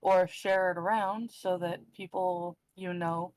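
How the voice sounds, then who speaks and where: A woman's voice: lowish voice, little pitch movement, takes it slowly, a little mellow, no echo, poor audio. A woman chatting casually as a panel member on a livestream. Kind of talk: casual chat.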